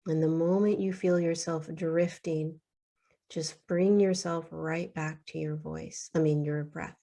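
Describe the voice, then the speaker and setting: A woman leading a guided meditation in a soothing, unhurried way, leaving long pauses between short phrases. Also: low voice, steady tone, gravelly, warm tone.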